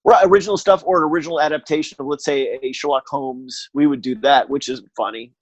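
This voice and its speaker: A middle-aged American man speaks as a guest in a relaxed conversational interview. His pitch is average and he wanders through his sentences haltingly, with a dry joking streak. The sound is close and free of room echo. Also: dull audio. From Connecticut, United States. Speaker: podcast guest, audio drama producer.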